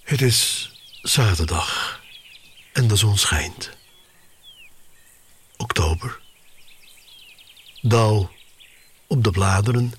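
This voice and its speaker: A man narrating an audio drama in the first person, in a measured, expressive way with pauses between short phrases. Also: fairly low pitch, a little hiss or hum.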